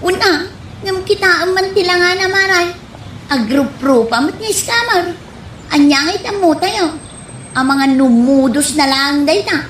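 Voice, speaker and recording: A woman's voice: very high voice, expressive, unhurried, fairly bright tone, full character voice. A woman with an Ilocano accent playing a suspicious, nagging friend in a comedy radio drama. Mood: worried, warning. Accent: Ilocano, from the Philippines. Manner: suspicious, complaining. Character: wary, nagging woman friend who distrusts strangers. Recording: echoey room, faint background noise.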